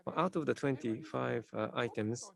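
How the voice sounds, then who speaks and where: A man presenting survey results at a conference in a halting, formal way, full of hesitations. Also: medium pitch, creaky. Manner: hesitant, heavy use of filler sounds.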